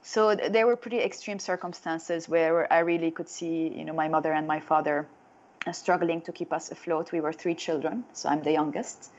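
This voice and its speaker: A woman's voice: low-pitched, fairly even intonation, moderate pace, a little mellow, lo-fi sound. A woman in her thirties from Lebanon, with a Lebanese accent, telling her own story as an interview guest. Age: 30s.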